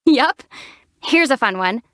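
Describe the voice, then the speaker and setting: A young woman voicing a calm, dry-witted heroine in a game, teasing her companion in a deadpan way. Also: high voice, takes it slowly, no echo, exaggerated voice. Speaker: player character, traveler heroine. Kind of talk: game voice acting.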